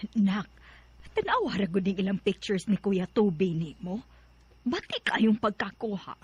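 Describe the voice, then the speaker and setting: Radio drama mother: caring, sentimental, Filipino accent, husky mid-pitched voice, gently coaxing. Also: very animated, unhurried, full character voice. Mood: coaxing, concerned. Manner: tender, coaxing. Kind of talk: Philippine radio drama acting.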